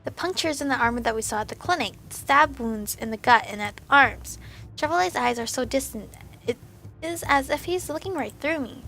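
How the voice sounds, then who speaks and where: A young woman hosting a let's play, reading a visual novel aloud with a hoarse, fading voice and casual asides. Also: high-pitched, fairly bright tone. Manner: casual asides between earnest narration. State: hoarse, losing her voice.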